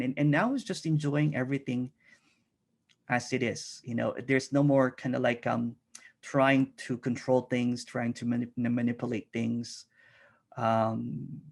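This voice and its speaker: Guest spot: middle-aged man from the Philippines, gravelly, halting, restarts a lot, video call. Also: medium pitch. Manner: halting, many restarts and fillers. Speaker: interview guest. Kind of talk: reflective casual conversation.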